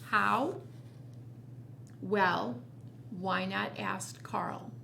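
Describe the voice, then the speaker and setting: A woman hosting storytime, reading a children's picture book expressively, with quiet asides. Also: mid-range voice, extremely slow, creaky, a little hiss or hum. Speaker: storytime host.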